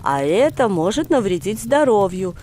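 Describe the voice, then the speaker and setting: A woman voicing a patient older grandfather in a storybook, explaining things with measured, wry humour. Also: mid-range voice, sing-song, dark tone, slight background noise, lightly acted.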